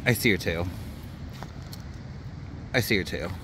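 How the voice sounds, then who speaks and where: A man's voice: deep voice, measured pace, bright, forceful tone, a little hiss or hum. A man, a dog trainer, talking playfully to his dogs on a beach at night, recorded on a handheld camera. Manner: coaxing, playful talk to dogs. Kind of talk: casual talk to his dogs.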